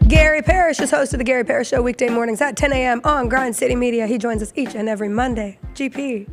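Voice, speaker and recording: A woman with laryngitis, hoarse, hosting a casual sports talk show with dry humor. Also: a little high, steady tone, fast talker, music in the background. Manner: dry, playful. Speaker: sports radio host.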